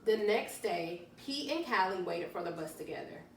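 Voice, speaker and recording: Animated woman reading aloud to young children. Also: lowish voice, vocal fry. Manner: deliberate, emphatic, drawn-out key words. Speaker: children's story-time teacher. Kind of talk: expressive read-aloud narration for young children. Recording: roomy, distant mic, faint noise.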